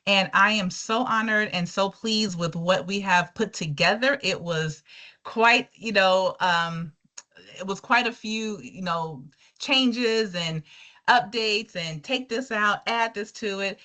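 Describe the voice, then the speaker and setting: A woman's voice: on the low side, bright, forceful tone. A woman organizing a group trip, introducing the presenter on a video call in an effusive way, with many fillers.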